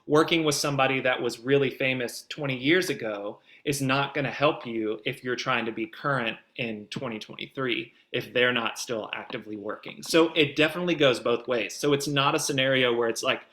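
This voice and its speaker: A man's voice: medium pitch, fairly quick, hardly any pauses, sharp tone. A man giving conversational expert advice as a guest producer, in an explanatory, emphatic way.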